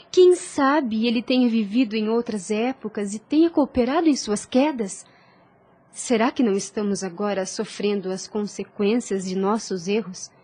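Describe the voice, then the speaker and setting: An actress playing a devout, gentle young daughter in a radio drama, speaking earnestly and formally. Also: female voice, medium pitch, breathy edge. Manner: earnest, formal, measured speech.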